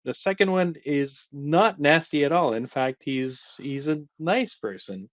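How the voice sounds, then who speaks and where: Joking co-host, dull dry audio. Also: male voice, on the high side, a little slow, dry recording. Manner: joking, many filler "uh"s. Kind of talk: casual show hosting.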